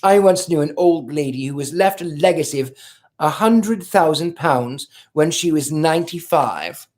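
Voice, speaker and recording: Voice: mid-range voice, animated, moderate pace, a little mellow, natural voice. A reader voicing a chatty, frank young American society woman in a novel read aloud, with breezy, naive chatter. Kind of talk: audiobook character voice.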